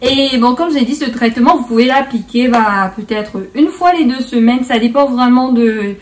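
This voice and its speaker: A woman's voice: on the high side, normal speed, far from the mic, a little hiss or hum. A woman hosting a casual beauty tutorial in a playful, chatty way, slipping bits of English into her French. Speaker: beauty vlogger, host.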